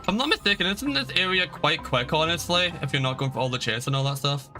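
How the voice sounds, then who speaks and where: A man's voice: fairly high pitch, animated, fast talker, music in the background. A man giving casual, opinionated live-stream commentary on a game, explaining things to his viewers as he plays.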